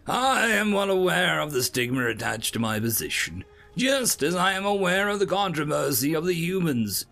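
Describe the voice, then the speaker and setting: A man's voice: high voice, sing-song, normal speed, vocal fry, a bit bright, faint music behind, pitched above the performer's own voice, exaggerated voice. A man voicing a self-assured, airy and curt alien senior observer in a science fiction story. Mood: indignant. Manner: firm, dismissive.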